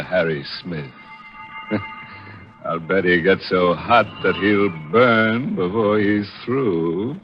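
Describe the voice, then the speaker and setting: Slow, deep macabre horror host. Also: male voice. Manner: mock-sympathetic, sardonic. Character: gleefully macabre, punning male horror show host. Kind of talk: 1940s radio hosting. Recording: muffled vintage 1940s radio broadcast.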